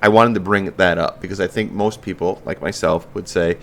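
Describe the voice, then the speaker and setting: A man hosting a podcast, chatting in a relaxed, frank way with the odd curse. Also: low-pitched, fairly quick, a little hiss or hum. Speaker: podcast host. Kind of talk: casual interview chat.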